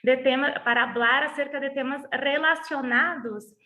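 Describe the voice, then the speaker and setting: Brazilian woman speaking halting Spanish. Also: high-pitched, fairly even intonation, bright, forceful tone. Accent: Brazilian Portuguese. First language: Portuguese. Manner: halting, restarts words while searching for Spanish. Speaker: guest speaker, lawyer. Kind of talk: online lecture introduction.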